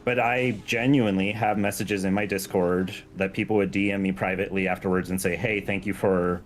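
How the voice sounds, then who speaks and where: A man's voice: low-pitched, steady tone, a little fast. A man talking casually and earnestly on a gaming stream as a guest, informal and self-effacing. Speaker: guest, game mod creator.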